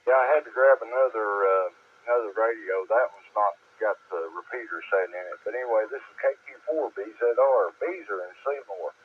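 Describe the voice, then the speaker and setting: A man's voice: lowish voice, fairly quick, a little hiss or hum. A man with a Southern Appalachian accent chatting over two-way radio as a ham operator, folksy and a little hesitant. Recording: tinny, narrow-band ham radio transmission.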